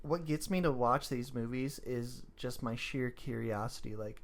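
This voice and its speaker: A man's voice: mid-range voice, normal speed. A man chatting casually as a podcast co-host, speaking in brief, wry remarks. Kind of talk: casual chat.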